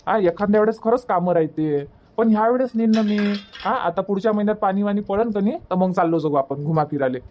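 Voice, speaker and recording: A man's voice: high-pitched, fast, a little mellow, lightly acted. A man playing a placating, argumentative village husband in a cartoon comedy, in colloquial Varhadi Marathi, repeating himself to make his point. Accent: Varhadi Marathi from Vidarbha.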